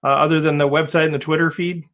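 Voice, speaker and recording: Guy hosting a podcast, fairly high voice, laid back and jokey. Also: flat delivery, quick pace, fairly bright tone. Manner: friendly, joking, wraps up the talk. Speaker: podcast host. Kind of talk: casual interview hosting.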